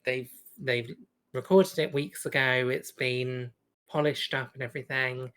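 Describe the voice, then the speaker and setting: Female podcast co-host, low voice, slow and hesitant, giggly, casual review chat. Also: steady tone, fairly bright tone.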